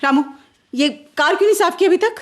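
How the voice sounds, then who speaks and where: A woman's voice: extremely high pitch, expressive, a little fast. An actress playing a proud, flustered young woman in a radio play, speaking theatrically with hesitant, repeated words. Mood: bossy, evasive. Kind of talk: radio play acting in Hindi.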